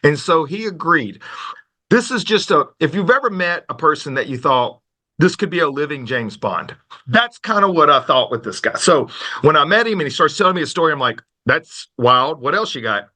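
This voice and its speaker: Animated man hosting a podcast. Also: a little high, normal speed. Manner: animated, folksy, polite with frequent "sir". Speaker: podcast host. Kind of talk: conversational interview.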